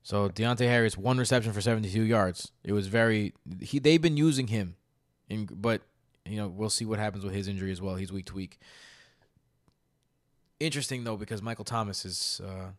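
Animated male podcast co-host. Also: fairly low pitch, fairly quick, no echo, studio quality. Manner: animated, swearing, laughs often. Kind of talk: casual fantasy sports chat.

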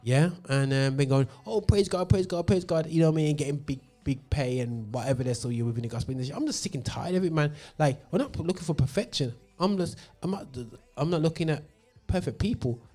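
A man's voice: a little high, quick pace, a little mellow. A man hosting a gospel radio show, ranting passionately with lots of fillers, recorded in a studio. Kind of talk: opinionated radio monologue.

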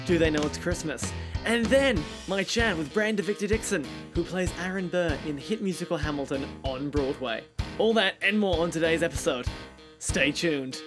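A man hosting an interview show, introducing guests and asking prepared questions. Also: a little high. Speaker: podcast host and interviewer.

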